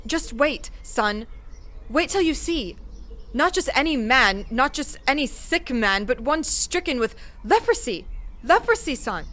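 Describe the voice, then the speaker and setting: A woman's voice: high voice, big pitch swings, measured pace, a bit bright, full character voice. A woman playing an older, haughty queen mother in an audio drama, theatrical and emphatic. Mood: alarmed, scornful. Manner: imperious, theatrical, emphatic repetitions. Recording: music under the voice.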